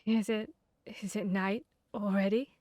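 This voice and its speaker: A woman's voice: medium pitch, steady tone, unhurried, darkish tone, dry recording, studio quality, close to an everyday voice. A woman playing an earnest, bookish vampire hunter who thinks aloud and gets slightly flustered, in a conversational ASMR audio roleplay.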